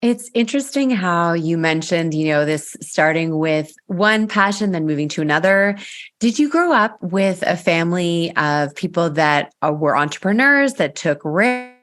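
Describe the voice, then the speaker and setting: A woman's voice: fairly low pitch, expressive, moderate pace, a bit bright. A woman hosting a podcast interview, asking encouraging, attentive questions of her guest. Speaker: podcast host. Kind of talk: interview host.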